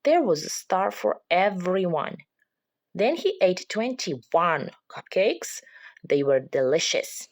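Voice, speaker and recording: A woman's voice: fairly low pitch, very animated, slow pace. A woman reading a children's picture book aloud as a parent would, in scripted storybook narration.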